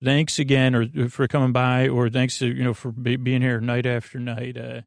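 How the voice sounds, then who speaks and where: Creaky, meandering bedtime podcast man. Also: medium pitch, little pitch movement, no echo. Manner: meandering, halting, stammering, repeating words; soothing, lulling. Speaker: podcast host. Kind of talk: rambling bedtime monologue to lull listeners to sleep.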